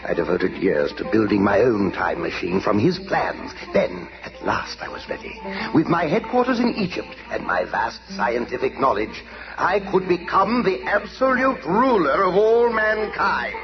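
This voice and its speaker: Pompous, wildly swooping pharaoh villain. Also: male voice, fairly high pitch, sing-song, a little slow, rough recording. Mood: boastful, theatrical. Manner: pompous, theatrical proclaiming. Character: grandiose villainous time-traveling conqueror posing as pharaoh. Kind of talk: 1970s radio drama acting. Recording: 1970s radio recording with music behind.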